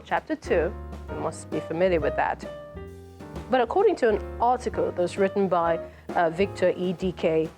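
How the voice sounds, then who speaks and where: A woman, an author reading from her book and commenting on it in an explanatory, measured way. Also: medium pitch, darkish tone. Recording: music playing behind the voice. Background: music.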